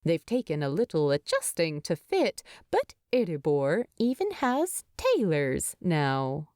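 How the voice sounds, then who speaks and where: A woman reading a story as an audiobook narrator, expressive, with deliberate phrasing and dramatic pauses. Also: low voice, no echo, clean studio sound.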